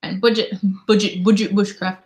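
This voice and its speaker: A woman's voice: mid-range voice, little pitch movement, brisk, darkish tone. Chatty woman live streaming from home. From Canada. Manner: chatty, reads viewer comments aloud and answers them. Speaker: YouTube host. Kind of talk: casual live stream chat.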